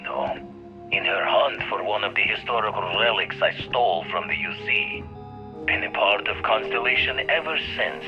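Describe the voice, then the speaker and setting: A man's voice: on the low side, low-quality recording. An actor playing an older retired space pirate in a video game, telling his past in colourful, wistful old-salt phrases. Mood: reflective. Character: grizzled retired space pirate, reflective, old, turned explorer. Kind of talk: video game character monologue.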